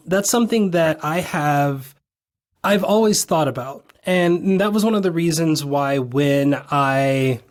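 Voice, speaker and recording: A podcast host with a slightly raspy voice, tired from lack of sleep, asking long, musing questions with enthusiasm. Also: mid-range voice. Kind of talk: conversational interview.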